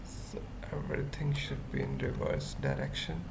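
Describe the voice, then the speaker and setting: A person thinking aloud through an unscripted coding tutorial, murmuring short halting fragments with long pauses. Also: mid-range voice, flat delivery, creaky, in a whisper. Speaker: web developer giving a tutorial. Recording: noisy room with keyboard typing. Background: computer keyboard typing.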